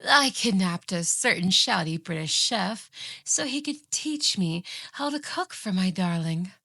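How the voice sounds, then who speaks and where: Obsessive kitsune yandere played by a woman, ASMR roleplay. Unhurried, smug and sweetly menacing, dry studio sound. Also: mid-range voice, lively intonation, sharp tone, no room sound, exaggerated voice. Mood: boastful, sweet. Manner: sugary, boastful, taunting. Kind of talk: scripted ASMR roleplay acting.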